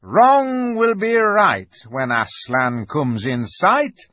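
A man voicing a grave, kindly old rustic beaver in a storybook, speaking slowly and emphatically in a folksy, knowing way. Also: high-pitched, big pitch swings, fairly bright tone, no room sound, dull audio, voice pushed up, full character voice. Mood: hearty, proud. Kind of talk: dramatized storybook reading.

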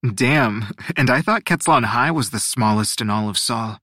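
A man's voice: medium pitch, normal speed, pushed and bright, dry recording, studio quality. A man voicing a spirited, sarcastic teenage boy in an audiobook, exclamatory and easily flustered. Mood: teasing, gruff. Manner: sputtering, exclamatory, smart-alecky.

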